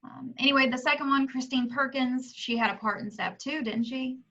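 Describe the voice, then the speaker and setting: Woman reading as a bright, brash TV reporter, muffled recording. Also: high-pitched, steady tone, a little fast. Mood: annoyed, brash. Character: brash, sharp-tongued female TV reporter. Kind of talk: amateur table reading of a film script. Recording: dull, muffled home recording.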